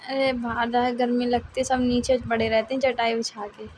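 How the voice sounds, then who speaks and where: A woman's voice: a little high, level tone, moderate pace, darkish tone, a little hiss or hum, natural voice. A woman playing a coy, playful village girlfriend chatting colloquially on a phone call recording. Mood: explaining, playful.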